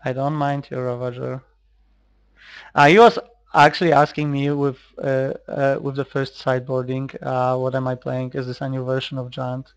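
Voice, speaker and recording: Polish-accented man, gravelly fry, hesitant casual game commentary, thinking aloud, dry lo-fi sound. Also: mid-range voice, flat delivery, moderate pace, no echo. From Poland. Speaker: online card game player recording gameplay. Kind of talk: casual live gameplay commentary.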